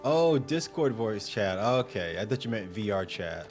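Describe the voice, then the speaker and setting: A young man streaming a game, chatting casually with viewers in a smooth, upbeat, joking way. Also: on the low side, big pitch swings, measured pace, faint music behind. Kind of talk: casual livestream chat.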